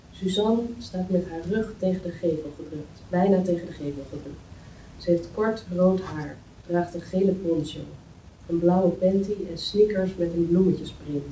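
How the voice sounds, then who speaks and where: A woman's voice: lowish voice, warm tone. A woman reading screenplay stage directions aloud as scripted narration at a table read in a meeting room. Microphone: far camera. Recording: roomy, distant mic, faint noise.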